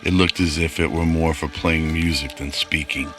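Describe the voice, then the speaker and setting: A man's voice: rumbling low, fairly even intonation, normal speed, a bit bright, faint music behind. A man narrating a scripted radio drama.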